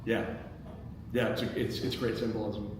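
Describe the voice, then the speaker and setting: A man's voice: fairly low pitch, level tone, normal speed. Male workshop host, mid-range, thinking aloud with stumbles and repeats; distant mic in a noisy, very echoey hall. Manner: hesitant, repeats words while thinking aloud. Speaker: host and stage-direction reader of a screenplay table read. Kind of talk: reading action lines and giving feedback to a group.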